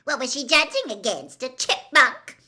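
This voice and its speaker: A woman's voice: high voice, very animated, moderate pace, pushed and bright, pitched above the performer's own voice, full character voice. A woman voicing a spiteful, mocking teenage girl bully who shrieks and sneers, in a storybook reading. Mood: scornful.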